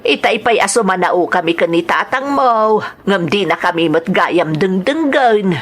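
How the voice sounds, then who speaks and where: A woman's voice: medium pitch, lively intonation, a little slow. A woman with an Ilocano accent playing a caring, worried mother of a grown son in a radio drama.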